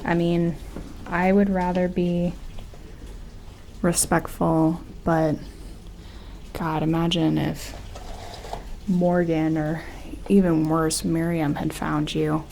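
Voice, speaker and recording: Female performer as gentle colony medic for sci-fi ASMR: unhurried, soft-spoken, reassuring, with a crackly fry in the voice. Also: low voice, little pitch movement, exaggerated voice. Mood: chatty, reassuring, then wry, confiding. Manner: hushed, hesitant, reassuring, informal.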